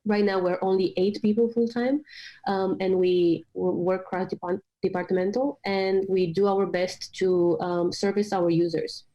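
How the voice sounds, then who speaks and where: A woman's voice: mid-range voice, little pitch movement, a little slow, mellow, highs cut off. A woman from Romania in her late twenties, speaking as an interview guest with many hesitant fillers. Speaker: guest, startup product manager. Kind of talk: remote interview.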